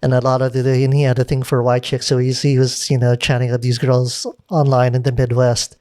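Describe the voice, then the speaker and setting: A man in casual podcast conversation, chatty and animated, whose pitch climbs when he makes a point, with some casual swearing. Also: brisk, no echo, clean studio sound.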